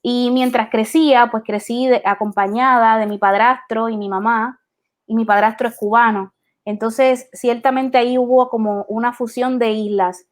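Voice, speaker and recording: Thoughtful woman, high voice. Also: steady tone, moderate pace. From Puerto Rico. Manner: thoughtful, many hesitations and restarts. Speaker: interviewed artist. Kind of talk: reflective conversation.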